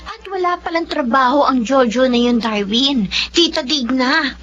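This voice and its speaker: A woman's voice: high voice, lively intonation, moderate pace, music in the background. A Filipino woman acting in a radio drama as an outspoken, protective and resentful young adult daughter. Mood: annoyed. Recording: dull lo-fi audio with noise, hum.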